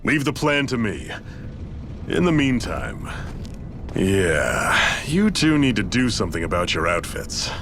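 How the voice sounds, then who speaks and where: An actor playing a confident, steady male resistance leader in a video game. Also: fairly low pitch, measured pace, over music. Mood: determined.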